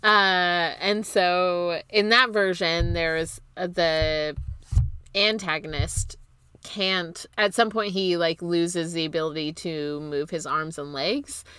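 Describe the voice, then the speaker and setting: A woman's voice: fairly low pitch, steady tone, slow pace, sharp tone, smooth, dry recording. A woman co-hosting a podcast in casual film chat, blunt and informal, with casual swearing. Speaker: podcast co-host.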